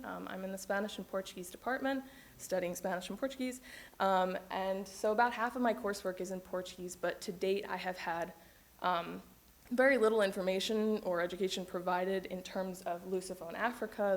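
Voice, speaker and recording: A young woman student presenting her research to a panel audience, hesitant, with many ums. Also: medium pitch. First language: English. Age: young adult. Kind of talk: academic presentation.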